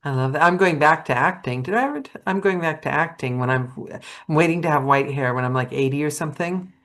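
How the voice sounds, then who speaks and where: Woman, deep voice, playful, laughs often, video-call host. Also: quick pace, a bit bright. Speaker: podcast host. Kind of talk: casual video-call interview.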